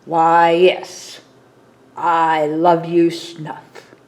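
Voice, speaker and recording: A woman's voice: low-pitched, completely flat delivery, very slow. A woman voicing a stern, grumpy, lonely female dragon librarian in a children's storybook read-aloud. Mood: softening. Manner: stern, roaring commands, mumbling sadly.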